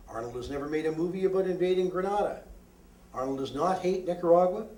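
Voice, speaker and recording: A man's voice: sits high, darkish tone. Echoey, distant male poet reading aloud. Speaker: poet reading own work. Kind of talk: live poetry reading, declamatory. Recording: roomy echo, distant microphone.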